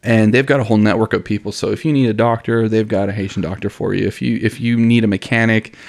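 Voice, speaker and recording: A young man from Miami co-hosts a relaxed podcast chat. His voice sits fairly low, and he talks easily, dropping dude and you know into almost every thought and laughing often. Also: fast talker, gravelly. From Miami, family from Honduras. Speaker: podcast co-host. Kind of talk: casual chat.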